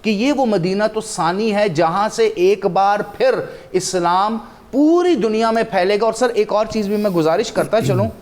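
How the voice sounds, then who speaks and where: A man's voice: sits high, normal speed, hardly any pauses, darkish tone. A man with a Pakistani accent giving impassioned, emphatic religious and historical commentary as a talk show guest, building his points through repetition.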